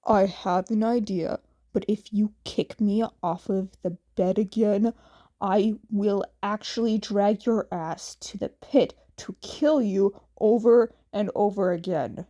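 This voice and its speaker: A woman's voice: mid-range voice, slow, dark tone, voice pushed down, natural voice. A woman voicing a teasing, dramatic young man, a sardonic boyfriend in a fan fiction story. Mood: mock threat.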